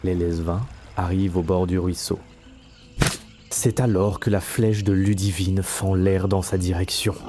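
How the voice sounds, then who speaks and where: A man's voice: sits low, little pitch movement, measured pace, background noise. A man narrating a scripted fantasy audio story as a storyteller. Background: faint music.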